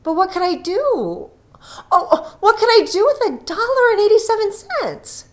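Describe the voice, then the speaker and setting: A woman's voice: extremely high pitch, big pitch swings, moderate pace, pitched above the performer's own voice. A woman voicing an anxious, impulsive, loving young wife in a storybook reading. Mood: fretful. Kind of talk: storybook character voice.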